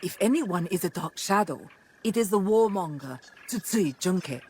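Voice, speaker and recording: An actress playing a commanding samurai clan noblewoman in a video game, speaking in an imperious, measured, formal way. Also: lowish voice, animated, mellow, slight background noise, lightly acted. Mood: cool, threatening. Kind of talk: video game cutscene acting.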